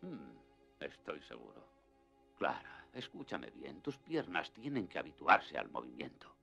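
A deep, gravelly old grandfather. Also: huge pitch swings, pushed and bright, soft background music, heavily acted. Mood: earnest, encouraging. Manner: measured, earnest. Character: gentle, gruff old grandfather, steady and encouraging. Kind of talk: dubbed cartoon acting.

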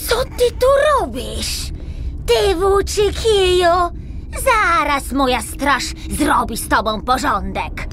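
Snotty bossy princess, fairy tale play, high n very animated, indignant. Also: female voice, takes it slowly, slight background noise, full character voice. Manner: imperious, indignant. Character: haughty, rude, ill-tempered princess.

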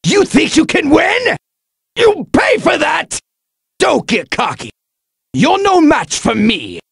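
A man voicing an arrogant, vicious alien warrior villain in an anime fighting game, gravelly and raspy, sneering and taunting as he shouts. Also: very high-pitched, sing-song, takes it slowly, no echo, clean studio sound, full character voice. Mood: boastful. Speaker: video game character voice actor.

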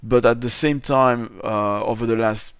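A man's voice: mid-range voice, steady tone, a little slow, creaky, clean tone, dry recording, clean studio sound. A middle-aged man from Belgium, hosting a casual podcast interview, chatty and rambling with many restarts. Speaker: podcast host.

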